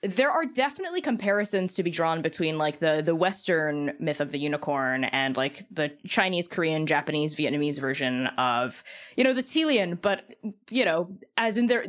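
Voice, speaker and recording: Animated woman chatting informally. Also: fairly low pitch. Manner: informal, peppered with "like" and "kind of". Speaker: podcast guest. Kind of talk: casual chat, opinionated explanation.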